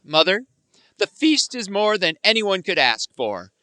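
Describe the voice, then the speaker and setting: An actor playing a gentle, grateful, peace-keeping family man in a radio play. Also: high-pitched, sing-song, measured pace, no echo, heavily acted. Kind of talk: live radio play acting.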